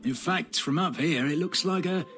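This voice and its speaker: A man's voice: a little high, moderate pace, a bit bright, soft background music. A man playing a pompous, theatrical knight who announces a tournament in a video game, with mock gravity. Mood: smug, mocking. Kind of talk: video game character acting.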